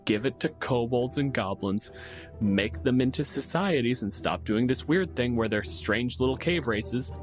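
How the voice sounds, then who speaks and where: A man's voice: medium pitch, animated, unhurried, soft background music. A man co-hosting a podcast, chatting casually and bluntly with emphatic opinions and plenty of swearing. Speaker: podcast co-host. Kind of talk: casual opinionated tabletop gaming chat.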